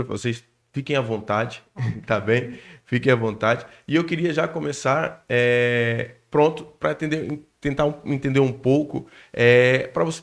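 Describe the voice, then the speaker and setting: A man's voice: medium pitch, gravelly. A man hosting a church youth talk show, asking the guests questions and affirming them with frequent amens. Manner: affirming, says amen often. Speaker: interview host.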